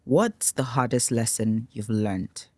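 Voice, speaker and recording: Mid-pitched male interviewer, dry sound. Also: slow, mellow. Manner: brief affirmations, echoes guest's words. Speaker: interview host. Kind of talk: interviewer asking questions.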